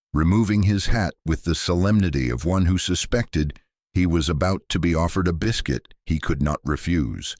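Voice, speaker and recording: A man reading scripted mystery fiction as narrator, in a measured, dry and understated way. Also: rumbling low, a little mellow, dry recording. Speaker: narrator of a detective story.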